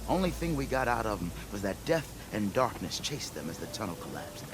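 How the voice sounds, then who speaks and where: An actor playing a cheerful, boastful expedition leader in a video game, florid and flattering, breaking into sudden laughs. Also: male voice, on the low side, fairly quick, background noise. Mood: storytelling. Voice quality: theatrical, florid. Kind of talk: video game cutscene acting.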